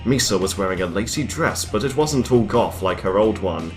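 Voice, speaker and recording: Male host, comic narrator, low voice, wry asides. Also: expressive, moderate pace, faint music behind. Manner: mocking, laughing asides. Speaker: reader, host. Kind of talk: comic dramatic reading.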